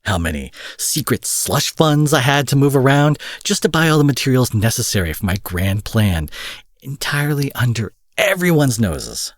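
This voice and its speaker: A man's voice: medium pitch, very animated, normal speed, no echo, clean studio sound. A man playing an angry, menacing supervillain in an audio drama, profane, sarcastic and theatrical, taunting with mock affection. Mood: smug, taunting. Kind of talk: roleplay audio drama monologue.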